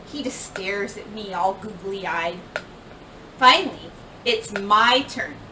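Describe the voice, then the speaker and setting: A woman reading a children's picture book aloud like a teacher, with expressive, emphatic delivery that acts out the words. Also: on the high side. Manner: animated, emphatic delivery with acted-out dialogue and sound words. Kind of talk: expressive storybook read-aloud. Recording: roomy, distant microphone, clear background noise.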